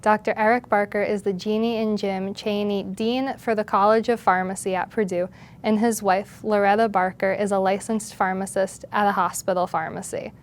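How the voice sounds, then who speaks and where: A woman's voice: medium pitch, flat delivery, normal speed. A woman hosting an interview, speaking politely in prepared introductions and questions.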